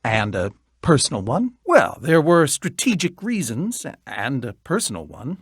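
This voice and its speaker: A middle-aged man voicing an eccentric, lonely, excitable researcher in a video game, with verbose, pompous, theatrical diction. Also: mid-range voice, unhurried, breathy edge, no room sound, full character voice. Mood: apologetic. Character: eccentric, lonely, excitable male researcher obsessed with studying creatures. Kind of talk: animated video game voice acting.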